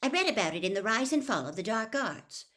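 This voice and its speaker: Fiery teenage girl for a storybook, voiced by a woman: high-pitched, snappy, insistent. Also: very animated, a little fast, a bit bright. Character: fiery, indignant teenage girl, quick to argue. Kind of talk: acted storybook reading.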